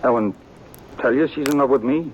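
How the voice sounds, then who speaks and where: An actor playing a frightened young cowhand in a radio western, who stammers and pleads. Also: male voice, mid-range voice, brisk, dull audio, lightly acted. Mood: defiant. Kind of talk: 1950s radio western acting. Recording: echoey, hissy old radio recording.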